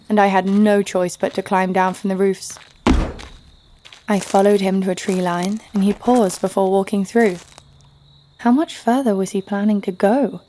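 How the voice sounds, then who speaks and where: A woman's voice: medium pitch, mellow, slight background noise, lightly acted. A woman playing a sassy, snarky heroine who narrates an audio drama story over faint music. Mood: hushed recounting. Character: sassy, snarky female sidekick-owning storyteller, fond but teasing. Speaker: narrator and lead. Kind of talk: scripted first-person story narration.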